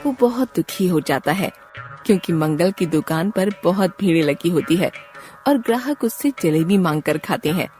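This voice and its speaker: A woman's voice: lowish voice, expressive, moderate pace, mellow, faint music behind. A woman narrator reading a scripted moral story.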